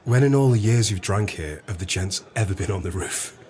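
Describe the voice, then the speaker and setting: An actor playing a stern but fair pub landlord in an audio drama, questioning with authority. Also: male voice, deep voice, expressive, a little fast, warm tone, a little hiss or hum. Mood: incredulous. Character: incredulous, stern but fair male publican.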